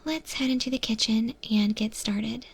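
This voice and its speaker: A woman playing a patient monster woman who mentors a newcomer in a restaurant kitchen, in a hushed, breathy ASMR roleplay whisper. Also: fairly high pitch. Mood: calm, instructive. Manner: slow, hushed, gently instructive.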